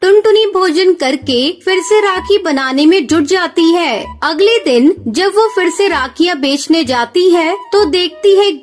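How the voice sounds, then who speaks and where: A woman narrating a scripted children's story as storyteller. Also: very high voice. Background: music.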